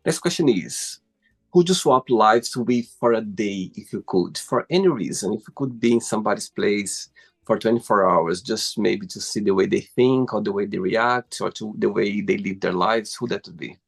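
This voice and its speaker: A man's voice: fairly low pitch, normal speed, gravelly, slightly rough. A man hosting an interview, asking friendly questions and reacting with enthusiasm. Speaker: interview host. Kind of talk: casual interview.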